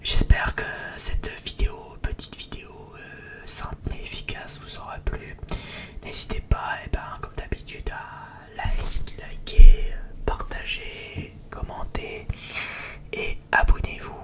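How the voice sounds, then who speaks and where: A person whispers a breathy, hesitant, rambling ASMR chat to viewers as a video creator. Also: takes it slowly.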